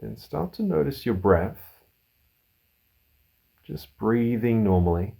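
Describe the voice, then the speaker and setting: A man with a deep, relaxed, creaky voice leads a guided meditation. He gives calm, soothing instructions as a mindfulness teacher, leaving unhurried pauses between phrases.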